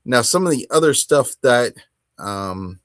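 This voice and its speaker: A man's voice: medium pitch, expressive, a little slow, a bit bright, no room sound, clean studio sound. A man hosting a hobby show solo, talking in a casual, conversational way and rambling a little as he thinks aloud. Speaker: host of a tabletop wargaming hobby show.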